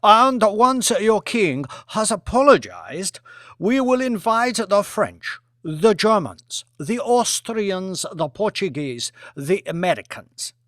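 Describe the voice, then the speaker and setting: Man putting on a high-pitched, pompous, scheming Chinese premier. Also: sing-song, slow, a bit bright, dry recording, clean studio sound, exaggerated voice. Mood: taunting. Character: scheming Chinese premier, thin-smiled, mocking and self-important.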